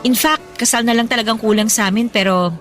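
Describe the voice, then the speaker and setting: A woman acting as a bubbly, feisty young woman on a date, with a high voice and a Filipino accent. She is playful and sassy, in audio drama style, with music playing underneath. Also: steady tone, fairly quick, fairly bright tone, natural voice. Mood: wry, confiding. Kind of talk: Tagalog audio drama dialogue.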